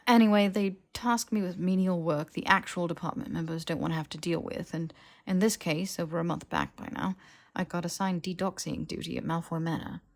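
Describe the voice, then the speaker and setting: Woman as young man, dry-witted, defensive, self-justifying, halting audiobook dialogue, lowish pitch. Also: steady tone, natural voice. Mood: wry explaining.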